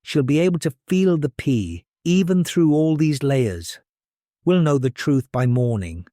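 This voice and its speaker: A man voicing a kindly, shrewd queen mother in a fairy tale, with a warm, knowing manner. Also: a little high, lively intonation, a little slow, no echo, studio quality. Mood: sly, confident.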